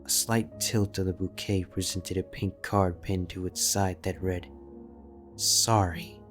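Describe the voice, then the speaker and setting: A man narrating a fan-fiction audiobook in a measured, steady storytelling manner. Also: low-pitched, soft background music.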